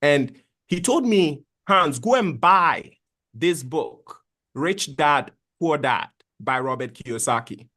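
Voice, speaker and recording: Man from Ghana, high voice, patient plain-spoken money explainer on a video call. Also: lively intonation, slow pace, sharp tone. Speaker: guest finance expert. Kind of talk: explaining investing in an interview.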